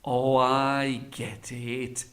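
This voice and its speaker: Man doing a slow, cunning, puzzled beggar. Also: mid-range voice, expressive. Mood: feigned confusion. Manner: slow, drawn-out, innocently puzzled. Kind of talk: children's folktale storytelling.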